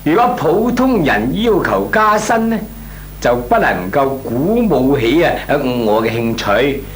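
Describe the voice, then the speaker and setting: A man's voice: mid-range voice, very animated, measured pace, a little hiss or hum. A male actor playing a nervous but cheeky employee in a comic radio drama, stammering and deferential yet pompous in argument, with a Hong Kong accent. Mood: cheeky insistence.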